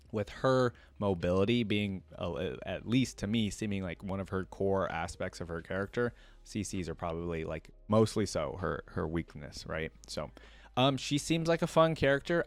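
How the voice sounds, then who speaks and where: Man, low-pitched voice, casual reaction commentary, conversational, thinking aloud, dry close recording. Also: dry recording. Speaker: reaction video host.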